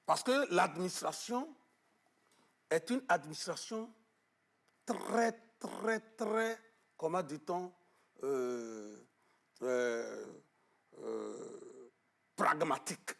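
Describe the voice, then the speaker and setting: A man being interviewed in a television studio, explaining at length with emphasis, often pausing on fillers and repeating words. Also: on the high side, animated, creaky, fairly bright tone. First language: Arabic-educated, French not first. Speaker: guest on traditional knowledge.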